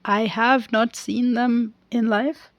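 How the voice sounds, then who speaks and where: Slow, rambling woman recapping a film. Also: on the high side, steady tone, no echo, muffled recording. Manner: rambling, goes off on tangents; hesitant, many pauses and fillers. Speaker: film reviewer. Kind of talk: casual film recap with tarot cards.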